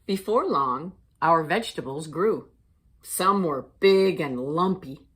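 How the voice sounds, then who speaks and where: A woman reads a picture book aloud as a teacher would. Her voice sits a little low, and she takes her time, phrasing each line expressively for young children. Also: expressive, slow pace, husky. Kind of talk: children's storybook read-aloud.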